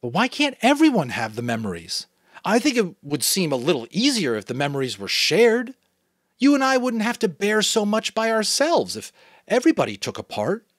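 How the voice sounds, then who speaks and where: A man's voice: sits high, wildly swooping pitch, moderate pace, no room sound, clean studio sound, pitched above the performer's own voice, exaggerated voice. A man voicing an earnest young boy who speaks eagerly and turns indignant. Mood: pleading. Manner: eager, pleading, rising to anger.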